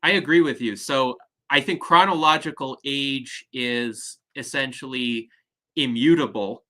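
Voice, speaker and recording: Man, host, bright tone, measured and articulate, video call. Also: mid-range voice. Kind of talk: online panel discussion.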